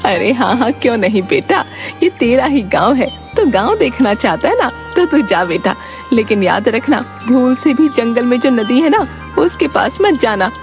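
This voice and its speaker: A woman's voice: fairly high pitch, sing-song, normal speed, pitched above the performer's own voice. A woman voicing a worried old village grandmother in a cartoon story, pleading with her grandson. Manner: pleading, fussing. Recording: background music.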